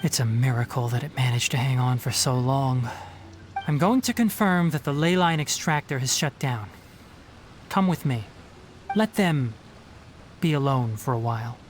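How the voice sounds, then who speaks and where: An actor playing a young male forest ranger scholar in a video game, articulate, matter-of-fact and quietly authoritative. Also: mid-range voice, normal speed, pauses often, lightly acted. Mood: grave, subdued. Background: game music.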